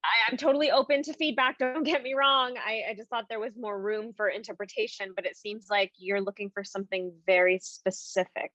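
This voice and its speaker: A woman's voice: mid-range voice, fairly even intonation, brisk, few pauses, a bit bright, dry recording, dull audio, lightly acted. A woman playing a polite, uneasy young actress at an audition, hesitant and questioning, in a naturalistic online play. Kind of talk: naturalistic virtual stage acting.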